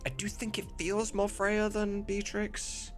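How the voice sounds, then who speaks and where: A man's voice: high-pitched, completely flat delivery, unhurried, a bit bright. A man talking casually and thinking aloud on a livestream, enthusiastic and emphatic, with some mild swearing. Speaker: game mod voice director and streamer. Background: music in the background.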